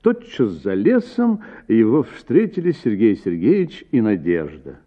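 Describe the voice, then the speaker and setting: Guy reading a classic story like a stage actor, low voice, lots of pauses, thin phone-like audio. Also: wildly swooping pitch. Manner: theatrical, varied phrasing with pauses. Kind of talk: expressive dramatic audiobook narration for radio. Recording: narrow-band, phone-like sound.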